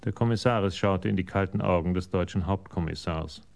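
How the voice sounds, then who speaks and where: Male narrator for radio crime drama: deep register, measured, sober scripted storytelling. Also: creaky.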